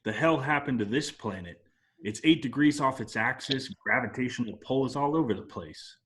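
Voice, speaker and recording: Brash mid-pitched space outlaw played by a man. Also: normal speed, fairly bright tone, natural voice. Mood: casual. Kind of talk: amateur table read of a film script.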